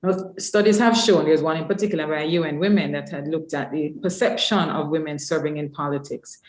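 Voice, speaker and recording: Low-voiced woman in an echoey room. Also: steady tone, brisk. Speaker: host introducing a webinar. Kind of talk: semi-formal introductory speech. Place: indoors on an online webinar call. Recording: roomy, echoey webinar audio.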